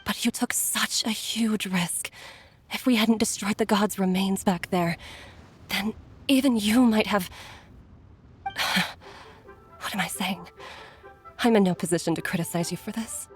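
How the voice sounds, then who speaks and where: A woman's voice: mid-range voice, measured pace, faint music behind, natural voice. A woman voicing a caring middle-aged doctor and mother who speaks earnestly with frequent sighs. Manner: earnest, sighing, concerned.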